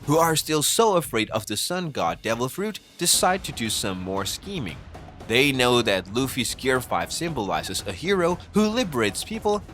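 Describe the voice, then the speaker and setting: Male narrator, scripted explainer read, lively swooping intonation, close mic, music behind. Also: medium pitch. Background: background music.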